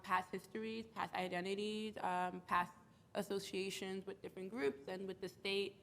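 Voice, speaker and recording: A woman's voice: mid-range voice, vocal fry, a little hiss or hum. Stuffy-nosed woman speaking on a panel. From United States (the Bronx, New York). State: stuffy from a cold. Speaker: panelist, community organizer. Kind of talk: panel talk.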